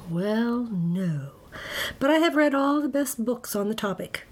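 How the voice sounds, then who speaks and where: A woman's voice: fairly high pitch, animated, measured pace, darkish tone. A meek storybook monster, played by a woman. He is earnest, bookish and harmless, and a little huffy. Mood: mild, earnest. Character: mild, bookish male monster, not at all scary.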